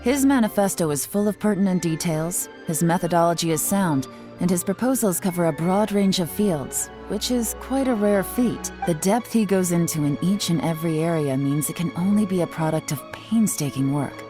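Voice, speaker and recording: An actress playing a cool, perceptive investigator in a video game, measured, analytical and matter-of-fact. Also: female voice, fairly low pitch, music in the background, natural voice. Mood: analytical, composed.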